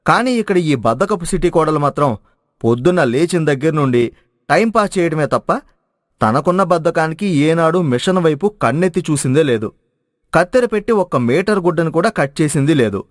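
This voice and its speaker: A man's voice: a little high, animated, a little fast, no echo, clean studio sound. A man narrating a scripted moral story as its storyteller.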